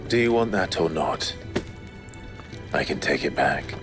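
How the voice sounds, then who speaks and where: Low-voiced brooding warrior. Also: male voice, expressive, measured pace, music in the background. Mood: terse, guarded. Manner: grave, terse, intense. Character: brooding, vengeful branded male warrior, a fallen lord. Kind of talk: fantasy game cutscene acting.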